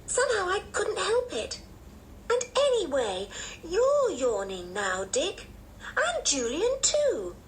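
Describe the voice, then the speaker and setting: A woman's voice: very high voice, huge pitch swings, slow pace, slight background noise, pitched above the performer's own voice, exaggerated voice. A woman voicing a young, chatty, curious girl in an eager, polite manner for a children's storybook. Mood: apologetic, teasing.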